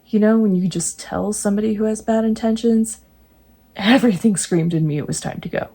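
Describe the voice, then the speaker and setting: A woman reading true ghost stories aloud, breaking in now and then with jokey, animated asides. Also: medium pitch, fairly quick, husky. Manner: animated, jokey asides between read passages.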